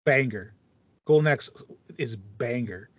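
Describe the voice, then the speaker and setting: A man's voice: medium pitch, slow, fairly bright tone, slightly rough, no room sound. A man in his thirties chatting casually and enthusiastically as a podcast co-host, explaining things in a rambling way. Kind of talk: casual chat about video game music. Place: small home room with foam panels, indoors. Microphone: headset on a video call. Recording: slightly muffled, dry online call audio.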